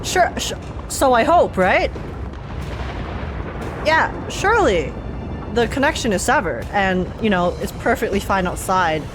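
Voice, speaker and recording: A woman's voice: medium pitch, lively intonation, unhurried, pauses often, background noise. A young woman streamer reacting live to a game, dramatic and slangy, often repeating herself.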